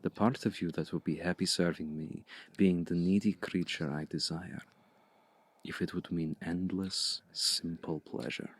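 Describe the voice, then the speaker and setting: A man playing a seductive, domineering vampire hypnotist in an intimate ASMR roleplay, speaking in a hushed, deliberate, breathy voice that often slips toward a whisper. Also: steady tone. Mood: seductive, coaxing. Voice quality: low, breathy, gravelly, often slipping into whisper. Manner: deliberate, commanding, coaxing with long pauses.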